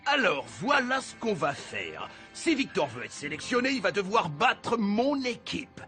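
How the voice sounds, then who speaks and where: An actor playing a stern, sarcastic football coach in a cartoon, curt and bossy. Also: male voice, high voice, sing-song, a little slow, bright, forceful tone, faint music behind, exaggerated voice. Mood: smug, challenging. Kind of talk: French animated cartoon acting.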